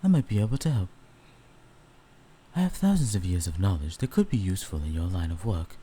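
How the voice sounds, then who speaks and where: A man's voice: sits low, big pitch swings, a little fast, warm tone, a little hiss or hum. A man voicing a courteous, regal, ancient male host in an audio roleplay, speaking formally and graciously.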